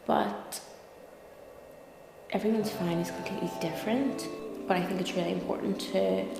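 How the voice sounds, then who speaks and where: A woman's voice: mid-range voice, fairly even intonation, moderate pace. A young woman with a Belfast Northern Irish accent talks candidly in an interview, conversational and peppered with "like" and "you know". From Belfast, Northern Ireland. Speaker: interview guest, lawyer and content creator. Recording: echoey reverberant room, clear music behind. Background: music.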